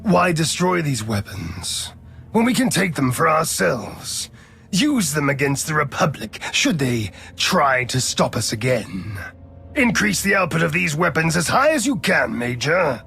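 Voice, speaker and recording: Imperious, gravelly Sith lord. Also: male voice, a little high, big pitch swings, sharp tone, faint music behind, heavily acted. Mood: gloating, commanding. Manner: imperious, formal, deliberate. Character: arrogant, power-hungry male Sith lord, cold and scheming. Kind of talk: dramatic video game voice acting.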